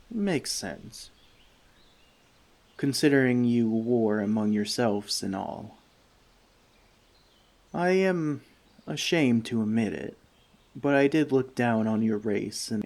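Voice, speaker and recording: Man as a slow, creaky-voiced gentle elf. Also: medium pitch. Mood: apologetic. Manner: measured, slightly formal wording, reflective. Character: friendly male forest elf, gentle, thoughtful, long-lived. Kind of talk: soft-spoken ASMR roleplay addressed to the listener.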